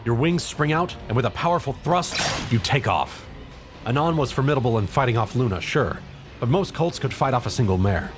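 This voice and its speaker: A man's voice: mid-range voice, expressive, fairly quick, faint music behind. A man narrating a comedic story in the second person, as a dramatic reader.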